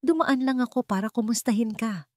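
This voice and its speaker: A woman's voice: a little high, brisk, a little mellow, dry recording, clean studio sound, pitched above the performer's own voice, close to an everyday voice. A woman voicing a scheming male friend who sounds chummy and falsely sympathetic in an audio love story.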